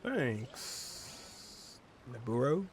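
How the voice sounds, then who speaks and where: A man's voice: medium pitch, big pitch swings, extremely slow, a little hiss or hum. A man gaming streamer giving casual, reactive gameplay commentary.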